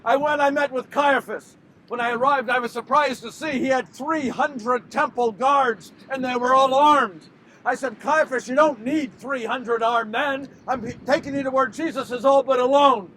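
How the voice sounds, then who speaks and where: A man performs a damned, remorseful male betrayer in a biblical stage monologue. His voice is strikingly high for a man. He speaks with theatrical intensity and weighted pauses, tormented by his own guilt. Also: steady tone, a little hiss or hum, poor audio. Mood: somber, haunted. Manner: impassioned, dramatic pauses, swings from hushed to shouting. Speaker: actor performing a dramatic monologue.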